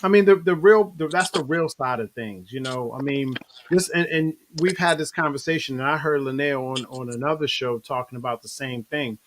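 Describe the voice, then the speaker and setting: A relaxed man hosts a casual video-call interview, wandering through his thoughts with lots of fillers. His voice sits at an ordinary middle pitch. A light hiss runs under the line. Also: normal speed. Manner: easygoing, rambling, many fillers. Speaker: show host.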